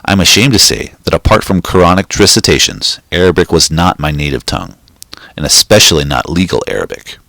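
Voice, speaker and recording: A man with a very low voice reads a short story aloud in a steady, measured way. The recording is dry and close, with no room sound. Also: vocal fry, no echo. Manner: steady, measured reading with occasional slips. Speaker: reader.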